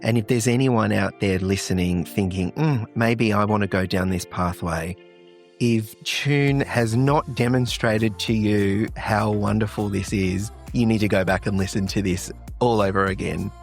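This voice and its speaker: Male podcast host, affirming, lower voice, soft music bed. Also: unhurried, creaky. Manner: warm and affirming. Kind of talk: interview chat. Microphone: video call.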